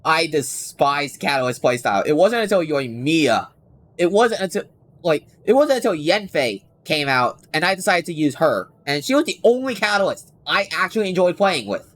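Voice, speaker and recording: A man chatting casually to viewers on a game livestream, rambling and halting as he doubles back on his sentences. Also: on the high side, big pitch swings, fairly bright tone. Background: game music.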